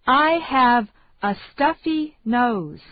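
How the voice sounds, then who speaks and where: A woman reading English phrases slowly and deliberately for learners to repeat. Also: a little high, expressive, no room sound. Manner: slow, deliberate, carefully enunciated. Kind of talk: slow repeat-after-me drill. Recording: dry, tinny phone-like sound.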